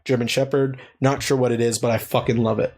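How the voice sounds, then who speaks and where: A man's voice: mid-range voice, little pitch movement, brisk, a little mellow. A man hosting a podcast, chatting casually with a guest, joking and swearing a lot. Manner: profane, joking, rambling. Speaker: podcast host.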